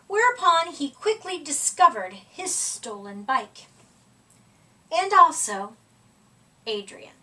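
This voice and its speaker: A woman reading a children's picture book aloud expressively, with deliberate dramatic emphasis and stretched-out words. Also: high-pitched, very animated, bright, forceful tone, far from the mic, poor audio. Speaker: storybook reader.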